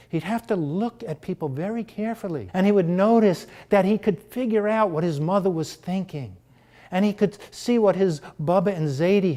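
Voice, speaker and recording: Unhurried author with a mellow voice. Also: male voice, sits high. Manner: unhurried, thoughtful, with many fillers. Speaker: children's book author. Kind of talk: interview conversation about his book.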